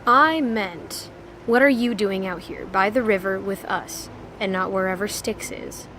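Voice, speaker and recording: A woman's voice: medium pitch, a little slow, natural voice. Woman acting a prickly goddess, noisy background. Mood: startled, defensive. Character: tall, guarded goddess, prickly but caring. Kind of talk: audio drama acting.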